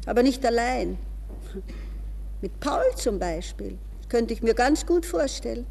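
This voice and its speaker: A woman's voice: fairly high pitch, lively intonation, unhurried, vocal fry, a little mellow, big-room sound, slight background noise. An actress playing a desperate, dreamy young woman from a good Viennese family in a theatrical interior monologue for a German radio play. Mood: dreamy, longing.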